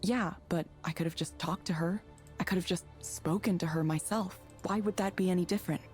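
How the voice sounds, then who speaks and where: An actress plays a troubled woman engineer in a visual novel game. She sounds introspective and downcast, with a fairly low voice. Soft music runs underneath. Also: a little fast, natural voice. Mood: sad, despairing.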